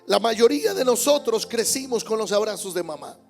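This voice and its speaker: A man's voice: high voice, lively intonation, moderate pace, creaky, faint music behind. A male pastor preaching a Sunday sermon in church, emphatic, repeating key words and calling out amens. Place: church service.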